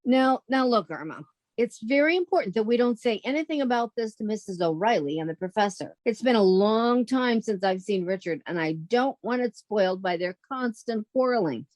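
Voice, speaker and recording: A woman's voice: on the high side, animated, normal speed, a little mellow, no echo, natural voice. A woman playing a sensible young single woman, wisecracking and fondly exasperated, in 1940s radio comedy style.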